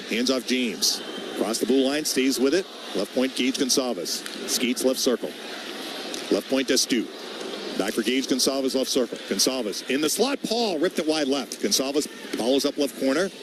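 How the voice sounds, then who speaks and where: A man does live radio hockey play-by-play in short, clipped bursts that track the puck. His pitch sits in the middle, and clear background noise runs under the broadcast. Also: animated. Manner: clipped, rapid-fire phrases following the action. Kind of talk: live sports commentary. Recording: noisy radio broadcast from an arena.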